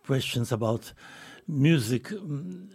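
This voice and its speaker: Hesitant man, gravelly voice. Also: mid-range voice, animated, darkish tone. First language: Russian. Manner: hesitant, searching for words, many pauses. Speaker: musician guest. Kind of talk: interview answers.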